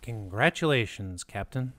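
A man voicing a mild, obliging native companion to a knight in a cartoon, speaking in a polite, deadpan, understated way. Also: lowish voice, measured pace. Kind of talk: dry comic cartoon acting.

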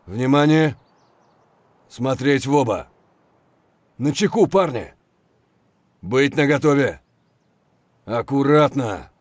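An actor playing a steady, businesslike male soldier in a military video game, giving terse, controlled squad orders. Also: mid-range voice, slow, pauses often, no echo. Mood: calm, watchful.

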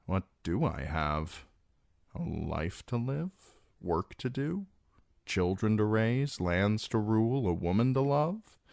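A man playing a bitter dwarf lord with a dry, wry, clipped delivery. The voice is deep and low, close to the reader's own, and moves with lively shifts in pitch. It is recorded dry. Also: takes it slowly, creaky, no room sound, exaggerated voice. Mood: sardonic. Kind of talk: audiobook character reading.

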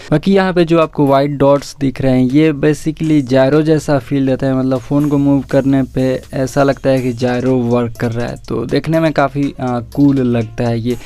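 A man's voice: medium pitch, dark tone, smooth. An Indian man giving a casual phone tutorial as a tech video host, mixing in English words and addressing viewers as guys.

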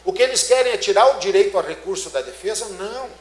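A middle-aged man with a Brazilian Portuguese accent lectures from a conference stage into a handheld mic. He talks emphatically, tossing rhetorical questions at the audience in a fairly high voice. The large auditorium gives his voice a roomy echo. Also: lively intonation, quick pace, a little hiss or hum. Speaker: law lecturer. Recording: echoey auditorium.

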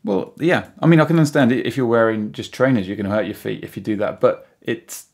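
Gravelly, low British man, rambling. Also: quick pace, a little mellow. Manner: rambling, frequent "you know". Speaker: podcast guest. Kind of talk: casual chat.

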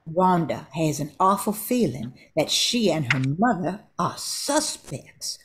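A woman's voice: on the low side, very animated, slow, a little hiss or hum. An older woman reading scripted narration for a radio drama.

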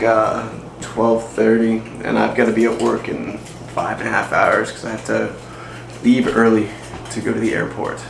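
A man's voice: fairly low pitch, gravelly, background noise. A young man in his twenties chatting casually into a handheld camera, rambling and self-deprecating. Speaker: vlogger. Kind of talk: casual vlog chat. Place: inside a parked car, then outdoors and a home kitchen. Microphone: handheld camera at arm's length.

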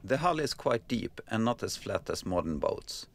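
A Swedish man reads a scripted voice-over in steady, accented English. His voice is low and gravelly, and his delivery stays calm and explanatory. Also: moderate pace, slight background noise. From Sweden. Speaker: sailing vlogger.

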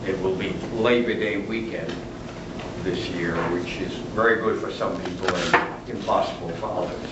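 Older man, low voice, chatty humorous lecture, distant roomy noisy recording. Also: steady tone, moderate pace. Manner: chatty and humorous, chuckling at own jokes. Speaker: retired literature professor lecturing. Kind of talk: lecture with asides. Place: large lecture room, standing at a lectern. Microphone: camera at the back of the room. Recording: roomy, distant, dull, noisy camera audio.

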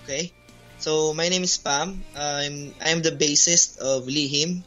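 A man's voice: fairly high pitch, steady tone, unhurried, sharp tone. A man from the Philippines with a Filipino accent, a native Tagalog speaker, answering interview questions hesitantly. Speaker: band bassist, interview guest. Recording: dull lo-fi call audio, music behind. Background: music.

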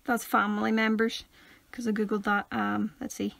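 A woman's voice: mid-range voice, level tone, normal speed, gravelly, fairly bright tone, no echo. A young woman co-hosting a casual podcast, giggly and playful, often dropping into whispers. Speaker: podcast co-host. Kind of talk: casual chat.

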